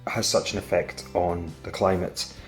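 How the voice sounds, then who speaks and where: A man's voice: deep voice, steady tone, normal speed. A man in his forties speaking candidly and conversationally in a reflective interview. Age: 40s. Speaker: voice actor in an audio drama. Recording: guitar music behind the voice. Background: guitar music.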